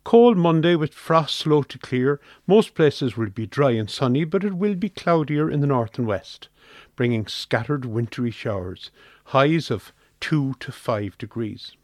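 An Irish man reads a scripted weather forecast for radio. His medium-pitched voice moves up and down in an animated way. The recording is dry and free of room sound. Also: dry recording.

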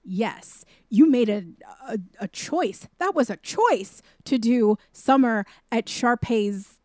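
A woman's voice: a little high, lively intonation, takes it slowly, darkish tone, no room sound, clean studio sound. A woman co-hosting a casual movie review podcast, speaking directly and emphatically. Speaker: podcast co-host.

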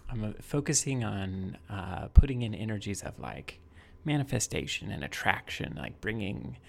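A man chatting softly and casually on a live stream while making music, rambling with lots of fillers. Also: animated, normal speed, vocal fry. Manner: soft, low-key, rambling with many fillers.

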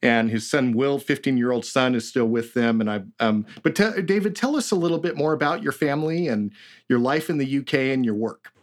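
A man's voice: mid-range voice, a little fast. A man hosting a podcast interview in an upbeat, chatty way. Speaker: podcast host. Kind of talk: casual interview.

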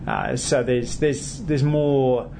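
A man, a politician answering interview questions in a measured way with frequent hesitant fillers. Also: mid-range voice, creaky, noisy background.

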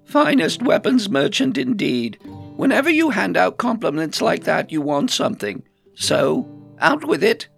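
A man's voice: high-pitched, big pitch swings, unhurried, creaky, soft background music, pitched above the performer's own voice, full character voice. A man voicing a shrewd female hobbit weapons merchant, suspicious and no-nonsense, in a storybook reading.

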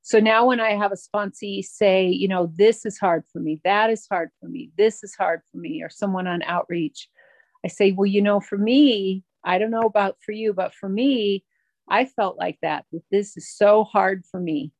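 A middle-aged woman sharing her recovery story at a twelve-step meeting, halting and reflective, with many pauses and restarts. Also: medium pitch, steady tone, a little mellow, no room sound. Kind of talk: personal recovery share.

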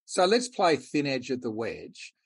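Husky male podcast host. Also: mid-range voice, sing-song, unhurried, dry recording, studio quality. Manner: prompting, questioning. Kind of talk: moderating a panel discussion.

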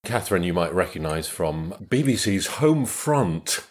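A man's voice: low voice, very animated, measured pace, darkish tone. A man hosting a podcast in casual chat, with a dry, joking, slightly hesitant manner. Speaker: podcast host.

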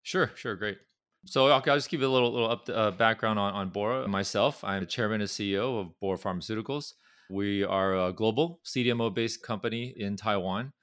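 A man's voice: lowish voice, fairly quick, a bit bright, clear, resonant tone, dry recording, studio quality. A middle-aged man from Southern California with a Californian accent, speaking as a company chairman in a conversational interview, often repeating words as he gathers his thoughts. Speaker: pharmaceutical company chairman, guest.

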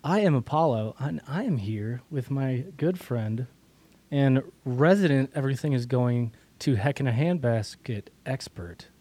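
A hoarse man co-hosting a chatty podcast, with a dark, mellow tone. He rambles and jokes, filling his talk with lots of ums and uhs. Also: medium pitch, animated, a little slow. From Michigan. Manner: joking, rambling with many fillers. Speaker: podcast co-host. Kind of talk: casual political podcast chat.

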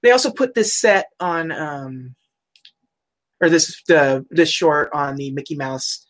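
A woman co-hosting a casual chat about old cartoons, chatty and quick to laugh, looking things up as she talks. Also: deep voice. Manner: chatty, laughs often, looks things up aloud. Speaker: podcast co-host.

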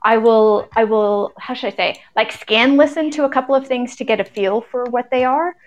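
A woman's voice: fairly high pitch, normal speed. A woman podcaster speaking casually as a guest in a live podcast chat.

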